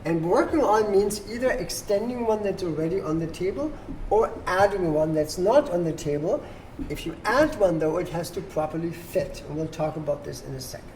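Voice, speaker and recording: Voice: medium pitch, expressive, normal speed, darkish tone. A person patiently explaining board game rules as the host to players at a table and checking that they follow. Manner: patient, instructive, checks understanding. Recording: noisy room with background ambience.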